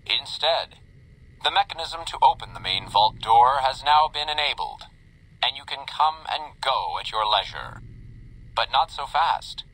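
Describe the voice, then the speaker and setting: An actor playing an upbeat, smug automated company announcer in a retro promotional style, polished and congratulatory, through an old radio filter. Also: male voice, mid-range voice, expressive, unhurried, pushed and bright, low-quality recording. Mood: cheery, grandiose. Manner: cheery, polished, theatrically congratulatory.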